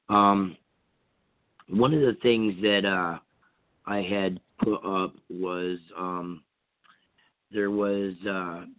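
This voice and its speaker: A hoarse man with a cold from Vermont, hosting a call-in radio talk show in a rambling, casual way with many fillers and restarts. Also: low voice, no room sound. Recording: tinny phone-line sound.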